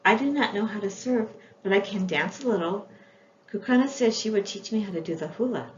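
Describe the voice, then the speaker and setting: A woman's voice: mid-range voice, fairly quick, voice pushed up, close to an everyday voice. A woman voicing a shy, polite young girl earnestly in a children's storybook reading. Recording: echoey room, dull sound, faint noise.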